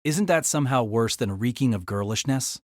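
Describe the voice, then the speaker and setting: A man's voice: medium pitch, animated, moderate pace, dry recording, studio quality, close to an everyday voice. An actor playing a wry, exasperated young man, delivering wordy first-person story narration and dialogue in a deliberating, self-conscious way.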